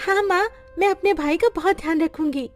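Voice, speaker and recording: This is a small, timid cartoon girl put on by a woman, her voice pitched high and pleading. Soft music plays under her lines. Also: a little fast. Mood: eager. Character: young girl Kanchan, cold and timid.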